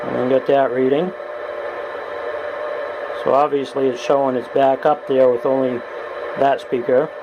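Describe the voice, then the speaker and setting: Guy from the us showing off his radio gear, folksy and halting, mid pitch, some radio noise behind him. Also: flat delivery, muffled recording. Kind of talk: casual hands-on demonstration. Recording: noisy home video with radio sound.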